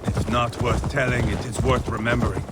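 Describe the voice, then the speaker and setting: An actor playing a proud, surly Viking raider chief who tells war stories in a gritty, deliberate video game performance. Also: male voice, medium pitch, steady tone, very noisy, natural voice. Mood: brooding, proud reminiscing. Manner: gritty, deliberate storytelling, acting out shouted quotes. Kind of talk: dramatic video game acting. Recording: loud outdoor ambience with horse hooves.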